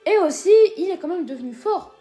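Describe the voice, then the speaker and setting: High-pitched girl joking around, lots of euh, faint music under it. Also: animated, fairly quick, dark tone. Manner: playful, teasing, hesitant fillers. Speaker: co-host of a ranking video. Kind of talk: casual scripted chat.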